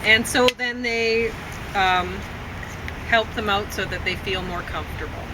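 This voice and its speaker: A woman with a fairly low-to-middle natural voice talks casually as a film reviewer. She rambles, pauses and restarts her thoughts. Clear car and outdoor noise runs behind her. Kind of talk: casual unscripted review chat.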